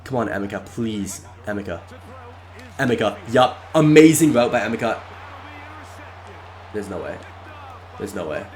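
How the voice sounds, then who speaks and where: A congested man narrating his own video game match live, excitable and shouting at close plays. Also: lowish voice, normal speed, long pauses, soft background music. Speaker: gaming YouTuber. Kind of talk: live gameplay commentary.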